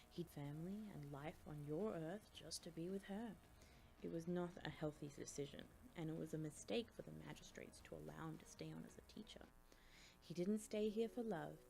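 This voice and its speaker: Creaky, low-voiced stern female professor played by a woman. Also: mellow, rough recording. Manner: measured, grave, critical. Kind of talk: audiobook drama acting.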